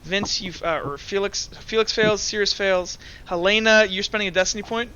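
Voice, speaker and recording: A man's voice: high-pitched, steady tone, brisk, pushed and bright, clear, resonant tone, highs cut off. A man improvising narration and rulings as the game master of a tabletop roleplaying game.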